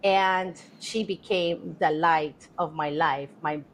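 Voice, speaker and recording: A woman's voice: low-pitched, steady tone, slow, crowd noise. A middle-aged woman from Colombia, a Spanish speaker, telling her story as a podcast guest in an earnest, reflective way with many fillers. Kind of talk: casual interview storytelling.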